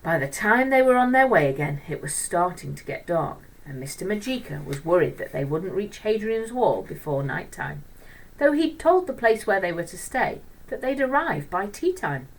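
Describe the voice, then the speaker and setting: A woman storyteller reading a children's book aloud in an expressive narrating style. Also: on the low side, moderate pace, far from the mic, low-quality recording.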